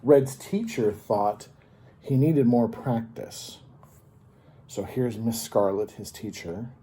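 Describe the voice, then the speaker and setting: Man reading to children, very mellow and soft-edged. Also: mid-range voice, breathy edge. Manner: teacherly, pauses to ask the listener simple questions. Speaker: storyteller, host of a children's story time. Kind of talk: read-aloud picture book for children with explanatory asides and questions.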